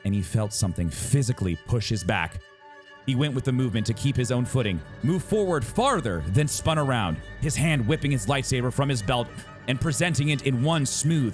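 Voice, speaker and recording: A man reading a story aloud as a dramatic narrator, with suspenseful, deliberate phrasing and pauses. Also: medium pitch, very animated, soft background music. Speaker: narrator reading a novel excerpt. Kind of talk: dramatic audiobook-style narration.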